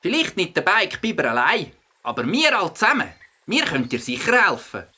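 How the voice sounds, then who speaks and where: A man playing a helpful, inventive young boy with a husky voice and a Swiss German accent in a children's audio play. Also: high-pitched, wildly swooping pitch, fairly quick, bright, forceful tone, full character voice. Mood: resourceful.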